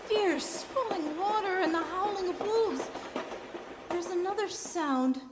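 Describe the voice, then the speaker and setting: A woman's voice: very high-pitched, slow. A woman playing a haunted, entranced young lady in a gothic radio drama, intense and theatrical. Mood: entranced, fearful. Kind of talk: live radio drama acting. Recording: echoey stage hall, clear background noise.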